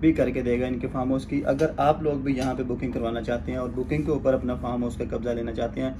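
A man's voice: medium pitch, little pitch movement, quick pace, background noise. A man in his thirties with a Pakistani Urdu accent, hosting a real estate video from a small office, polite and formal with hesitant fillers. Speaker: real estate agent host. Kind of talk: promotional interview and sales pitch.

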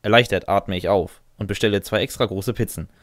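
A man's voice: lowish voice, fairly quick, no echo, clean studio sound. A man reading scripted fan fiction as a first-person narrator.

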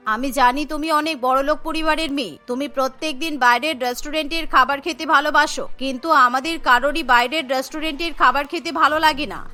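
A woman's voice: high-pitched, bright, forceful tone. A woman voicing an older mother-in-law, firm, protective and reproachful, in a cartoon family drama.

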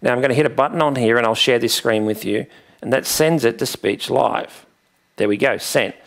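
A man in his forties presents a tutorial into a headset mic. His voice has plenty of gravelly creak at a middling pitch. He explains each step in a conversational, matter-of-fact way. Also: a little fast. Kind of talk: conversational instructional demonstration.

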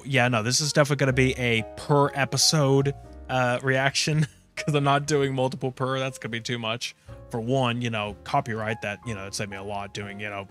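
A man gives casual reaction commentary at a middling pitch. He rambles and trails off as he searches for words, with faint music behind him. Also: a bit bright. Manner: halting, rambling. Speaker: reaction video host.

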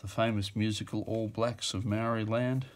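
Male auctioneer, lowish voice, offhand musing delivery, fillers and self-corrections. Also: flat delivery, moderate pace. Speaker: auctioneer describing a lot. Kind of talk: casual off-the-cuff commentary. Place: indoors at a table.

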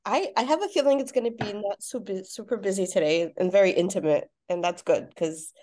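A woman's voice: mid-range voice, a little fast, dark tone, a little hiss or hum. Congested woman on a video call. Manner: friendly, explanatory, with restarts. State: congested from a cold. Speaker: pediatric practitioner hosting a group call. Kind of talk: casual group video call. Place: home room with window.

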